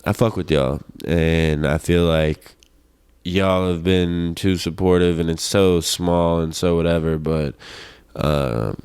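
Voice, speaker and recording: A young man in his twenties with a very deep, smooth voice talks in a loose, laid-back ramble. His casual, slangy delivery is sprinkled with profanity, and the sound is close and dry. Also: steady tone, takes it slowly, darkish tone, no echo. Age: 20s. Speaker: host of a personal vlog show. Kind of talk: casual rambling solo chat.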